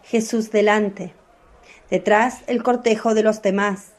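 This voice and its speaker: A woman narrating a scripted radio drama in a calm, even storytelling voice. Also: mid-range voice, takes it slowly.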